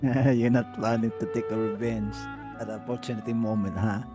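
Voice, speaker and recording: A woman's voice: a bass voice, fairly even intonation, fairly quick. A woman streamer casually commenting over a game, playful and chatty with the characters. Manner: laughing, playful, chats along with the characters. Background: game music.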